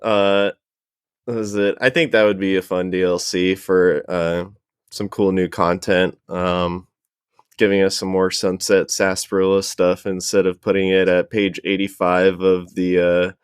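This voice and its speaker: Smooth, lowish-voiced man chatting casually. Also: level tone, unhurried, no room sound, clean studio sound. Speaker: panel guest. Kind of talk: casual chat.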